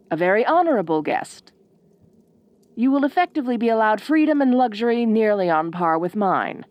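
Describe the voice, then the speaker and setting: Ice queen for audio roleplay, female performer: bossy, proud, secretly fond; unhurried, widely swooping delivery, imperious and condescending. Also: medium pitch. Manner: imperious, formal, drawn-out condescending phrasing. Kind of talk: audio roleplay acting addressed to the listener.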